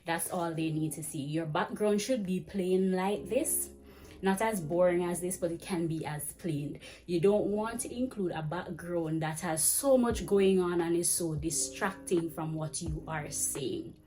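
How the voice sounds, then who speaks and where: A young woman giving chatty, fast-paced teaching advice to camera in a small indoor room. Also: lowish voice, faint music behind. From Jamaica. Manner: chatty, fast-talking, animated with hand gestures. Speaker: vlogger and ESL teacher. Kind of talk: casual advice vlog. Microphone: camera framing head to chest.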